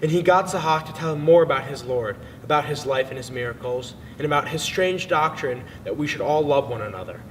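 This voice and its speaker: A man's voice: fairly high pitch, fairly quick, hardly any pauses, slight background noise. A man narrating a dramatic literary reading in a solemn, theatrical manner. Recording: distant in long hall reverb, loud music. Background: string music.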